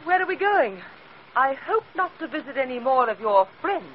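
A woman's voice: high voice, very animated, moderate pace. An actress playing a sleuth's wry, inquisitive lady companion in a 1940s radio drama. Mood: wry, curious. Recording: muffled, faintly noisy old radio.

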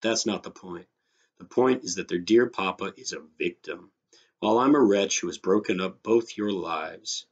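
A man's voice: sits low, moderate pace, dull audio. A man voicing an indignant, sarcastic grown man nursing a grievance, in a scornful, snorting storybook reading. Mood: scornful, exasperated.

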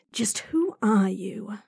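Woman acting a husky, stubborn young werewolf. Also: mid-range voice, expressive, drawn-out words, a little mellow, no echo, heavily acted. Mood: wary. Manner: earnest, defiant, restrained. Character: idealistic, stubborn young female werewolf in love. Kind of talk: audio roleplay drama acting.

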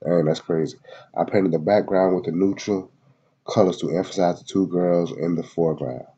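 Male host, congested, husky, mellow tone; casual read-aloud with slang asides. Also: low-pitched, moderate pace, highs cut off. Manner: loose slangy asides between read passages, many fillers. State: congested from a head cold. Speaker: video host reading a website aloud. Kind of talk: casual screen-recorded reading and commentary. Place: at home in Florida.

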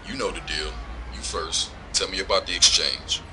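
A man's voice: low voice, fairly even intonation, moderate pace, noisy background, natural voice. A man playing a smug, corrupt lawman in an audio drama, taunting and profane as he coolly threatens his informant. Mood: mocking, hard. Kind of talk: scripted audio drama dialogue.

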